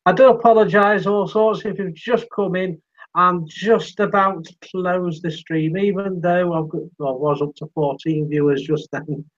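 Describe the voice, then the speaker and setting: An English man hosts a live-stream chat, his voice slightly high for a man and starting to give out. The sound is dull and lo-fi, with the top end cut off. He talks in a chatty, easygoing way. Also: steady tone, measured pace. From England. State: losing his voice. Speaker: live-stream host and interviewer.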